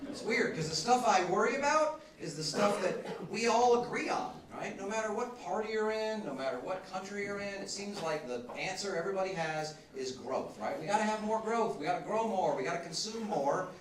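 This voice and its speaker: A man's voice: sits high, animated, fairly quick, few pauses. A man in his forties with a Southern American accent, doing a loose, conversational stand-up set and chuckling through his punchlines. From Southern United States. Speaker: stand-up comedian. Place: comedy club stage. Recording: echoey club, far from mic, loud crowd. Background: loud audience laughter.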